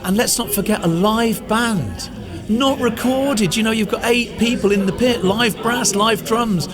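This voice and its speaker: A man's voice: sits high, unhurried. A man who performs comedy, chatting enthusiastically and earnestly in a casual interview.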